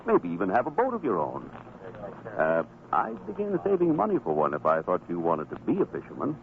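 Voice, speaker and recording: A man's voice: deep voice, huge pitch swings, a little fast, vocal fry, heavily acted. An actor playing a troubled, stubborn fisherman father in a religious radio drama, with an emotional, emphatic, theatrical delivery. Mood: proud, fond. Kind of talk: old-time religious radio drama acting. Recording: tinny, band-limited old radio recording, noisy.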